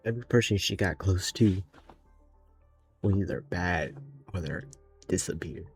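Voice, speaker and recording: Low, gravelly male game streamer. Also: lively intonation, a little slow. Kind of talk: casual live reaction commentary. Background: game music.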